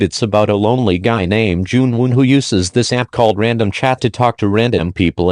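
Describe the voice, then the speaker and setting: A man's voice: on the low side, darkish tone, no room sound, studio quality. A man hosting and narrating a scripted countdown review in an informal, slangy way. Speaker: video host and reviewer.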